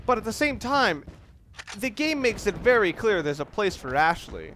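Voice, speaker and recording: A man's voice: high voice, big pitch swings, normal speed, fairly bright tone. A young man giving casual, rambling commentary over a video game, correcting himself and swearing when caught off guard. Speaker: let's play commentator. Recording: game sounds and noise behind voice.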